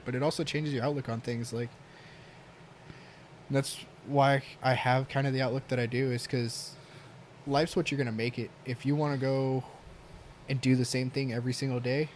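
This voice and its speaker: Male guest, quiet voice, mid pitch, casual storytelling, lots of cursing, faint noise. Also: fairly even intonation, normal speed. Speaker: podcast guest. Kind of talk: casual podcast chat.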